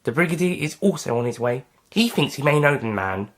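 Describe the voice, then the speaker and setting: A man's voice: medium pitch, animated, a little fast, fairly bright tone. A man voicing an earnest, polite female space agency agent in an audio science fiction story. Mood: earnest, reporting.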